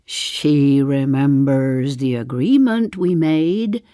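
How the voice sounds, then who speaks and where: Casting: woman of about 75, darkly comic audio play. Dry wit, unhurried confiding delivery, studio-dry sound. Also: rumbling low, dark tone, clear, resonant tone, no room sound. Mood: dry, rueful. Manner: deliberate, confiding, with dry understatement. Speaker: actor in a one-woman play. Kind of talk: theatrical audio drama monologue.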